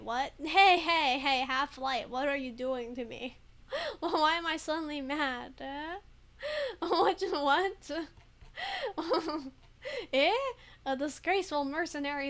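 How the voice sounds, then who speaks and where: A woman's voice: high voice, takes it slowly, fairly bright tone. A woman commenting casually on a video game, giggling often and hesitating a lot. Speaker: game streamer. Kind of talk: casual let's play commentary.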